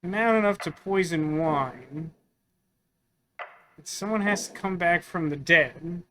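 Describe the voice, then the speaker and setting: A man's voice: fairly high pitch, slow pace, pushed and bright, a little hiss or hum, low-quality recording. A man doing casual let's play commentary, musing and thinking aloud as he works through puzzles. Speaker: gaming video host.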